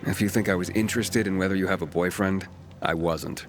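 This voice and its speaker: A deep-voiced young male wanderer from a video game played by a man. He talks casually and plainly, guarded but calm. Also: brisk, music in the background, natural voice. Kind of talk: naturalistic video game dialogue.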